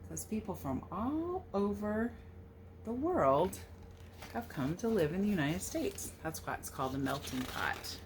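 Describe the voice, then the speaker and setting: A woman teacher reading a picture book aloud to children, expressive and sing-song, with casual questioning asides. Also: mid-range voice, a little slow, a little hiss or hum. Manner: animated, questioning, sing-song verse delivery.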